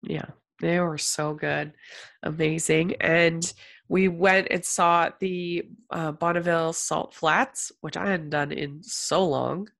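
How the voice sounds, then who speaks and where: A woman's voice: deep voice, fairly even intonation, slow, creaky, a bit bright. A woman with a congested, sick-sounding voice, hosting a casual podcast as a film critic, chatty with lots of fillers. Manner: chatty, many fillers and restarts.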